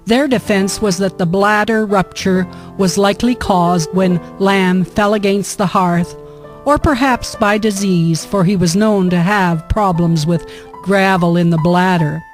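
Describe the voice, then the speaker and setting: A woman narrates a historical radio drama from a script. Her voice sits at a middle pitch, with light instrumental guitar behind it. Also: a little slow.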